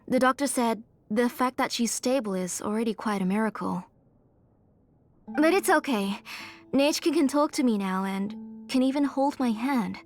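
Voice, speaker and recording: A woman playing a gentle, sorrowful, devoted mother in a dramatic video game scene. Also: on the high side, close to an everyday voice.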